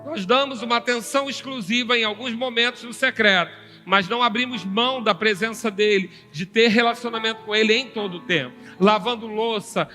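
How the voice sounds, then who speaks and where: A man's voice: high voice, fairly quick, few pauses, bright, forceful tone, big-room sound, soft background music. A Brazilian man preaching a teaching sermon to a congregation, often prompting them to answer amen. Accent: Brazilian Portuguese. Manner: teaching, calling for "amém" from the congregation. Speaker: pastor teaching a seminar.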